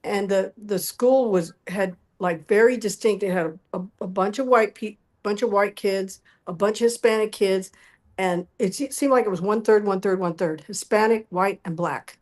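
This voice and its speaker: A middle-aged woman telling animated personal stories as an interview guest, often acting out what people said. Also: mid-range voice, normal speed. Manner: acts out quoted dialogue. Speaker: interview guest, musical performer.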